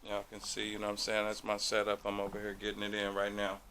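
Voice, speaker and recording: A man with a raspy voice, hosting his own channel and giving a casual tour of his home game room in loose, slang-filled talk. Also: fairly low pitch, completely flat delivery, fast, sharp tone, slight background noise.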